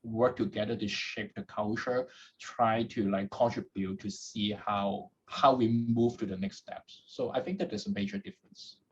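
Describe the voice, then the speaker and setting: Hong Kong-raised male guest, husky and low, halting with repeated words, muffled lo-fi sound. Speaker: guest, head of data at a startup. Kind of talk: podcast interview answers.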